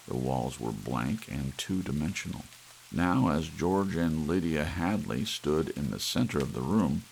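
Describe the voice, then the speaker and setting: A man narrating a scripted suspense story as an ASMR audiobook, with soft rain behind him. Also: rumbling low, vocal fry, poor audio. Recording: faint hiss and soft rain.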